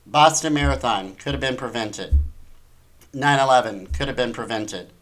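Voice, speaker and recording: A man's voice: medium pitch, normal speed, fairly bright tone, poor audio. A man hosting an opinionated talk show, commenting on the news in an incredulous, emphatic way with rhetorical questions. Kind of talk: opinionated news commentary, reading articles aloud.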